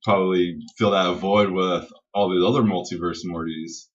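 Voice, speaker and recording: A man with a fairly low voice co-hosts a casual pop-culture podcast. He rambles enthusiastically and swears easily. The sound is dull and lo-fi, and he sits some way back from the microphone. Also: fairly even intonation, moderate pace. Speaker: podcast co-host. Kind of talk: casual geek-culture chat. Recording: dull lo-fi audio, distant from mic.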